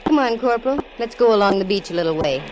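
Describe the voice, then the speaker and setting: A woman's voice: medium pitch, expressive, quick pace, noisy background, natural voice. An actress playing a cool, wisecracking female private eye in a 1940s radio drama, talking and narrating in a wry, hard-boiled manner. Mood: urgent, alert. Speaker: lead actress in radio detective show. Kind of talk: 1940s radio acting, first-person narration. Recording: vintage 1940s radio recording.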